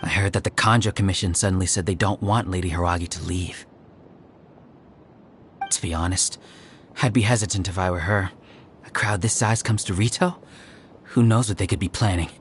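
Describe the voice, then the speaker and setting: A man's voice: deep voice, steady tone, moderate pace, long pauses, slight background noise, close to an everyday voice. An actor playing a wary, gossiping townsman in an anime fantasy game, speaking hesitantly and speculating. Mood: wary, uneasy.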